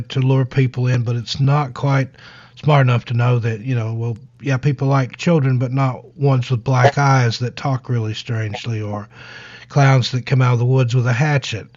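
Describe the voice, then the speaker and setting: A man with a scratchy, hoarse voice talks loosely and casually, wandering from one thought to the next. He pokes fun at himself without much fuss. The sound is dull and dry, like an online call. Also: medium pitch, steady tone, normal speed, darkish tone, no room sound. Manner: rambling, easygoing, self-deprecating. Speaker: guest author and narrator. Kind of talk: casual live-stream interview chat.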